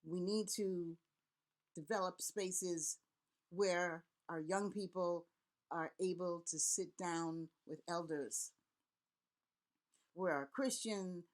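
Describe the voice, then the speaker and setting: A woman's voice: fairly low pitch, steady tone, slow pace, long pauses. A middle-aged woman from Haiti, a Vodou priest and elder, giving an earnest, rallying spoken address with repeated words and long lists. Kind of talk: impassioned spoken address to camera.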